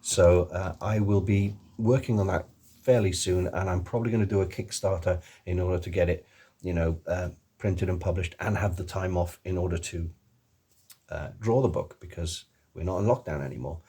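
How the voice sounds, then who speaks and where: A man's voice: deep voice, normal speed, mellow, husky. A middle-aged man with an accent between English and Welsh, chatting casually to camera in a rambling way with many ums. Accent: mix of English and Welsh. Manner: rambling, full of ums and restarts. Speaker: author, illustrator and voice actor. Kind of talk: casual video chat to camera.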